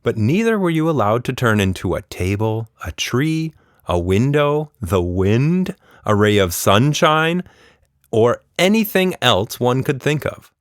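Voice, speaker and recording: A man tells a children's story with a highly animated, swooping delivery. The recording is close and dry, as from a podcast host reading to young listeners. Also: unhurried, no room sound. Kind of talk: expressive children's story narration.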